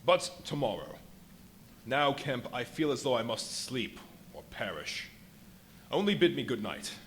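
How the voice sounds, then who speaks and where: A man acts out an invisible fugitive scientist in a live radio drama. He is imperious, exhausted and irritable, with very mobile pitch and theatrical exclamations. Faint noise sits behind the voice. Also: sing-song, unhurried. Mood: exhausted, wary. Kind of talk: live radio play acting. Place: live performance at a brewery venue.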